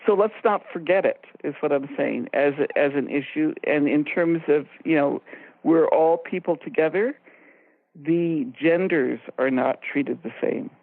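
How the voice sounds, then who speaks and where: A woman co-hosting a radio discussion, explaining ideas in a measured way and stressing her key points. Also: low-pitched, dry recording. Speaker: radio show co-host and expert. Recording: narrow phone-like line, very dry.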